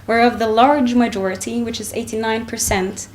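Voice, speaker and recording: A young woman from Finland reading a prepared talk into a lectern microphone in a conference hall, measured and with frequent ums and restarts. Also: fairly high pitch, steady tone, slight background noise. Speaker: conference speaker. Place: wood-panelled conference hall, indoors.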